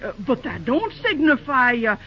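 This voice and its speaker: A folksy older diner keeper. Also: female voice, sits high, big pitch swings, normal speed. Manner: homespun rural dialect, exclamations. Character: folksy older woman restaurant keeper, fussy and kindly. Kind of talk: 1930s radio drama acting. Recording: dull old radio recording.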